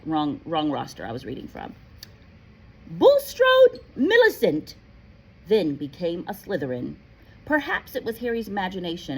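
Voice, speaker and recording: A woman reading a story aloud in an animated, playful, expressive way, like a teacher. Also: low voice, slight background noise, poor audio. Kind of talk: expressive read-aloud narration with casual asides.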